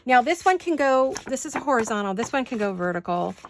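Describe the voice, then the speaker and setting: A woman with a fairly high voice chats her way through a craft project as a vlog host. She rambles and thinks out loud, dropping in folksy, playful exclamations. Faint noise and small clicks sit behind her in a home studio recording. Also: very animated, fast. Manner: chatty, rambling, thinking aloud. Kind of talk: casual craft-with-me chat.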